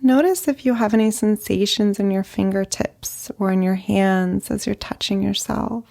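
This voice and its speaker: A woman leading a guided meditation as a therapist, speaking gently in short phrases with long pauses. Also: medium pitch, steady tone, creaky. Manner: slow, gentle, pausing between short phrases.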